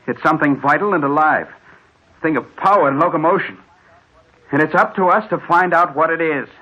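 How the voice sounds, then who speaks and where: An actor playing an earnest, anxious male experimenter in a 1940s radio drama, speaking with intense, deliberate dramatic delivery. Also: fairly high pitch, pushed and bright, breathy edge. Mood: alarmed, insistent. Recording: muffled, hissy 1940s radio recording.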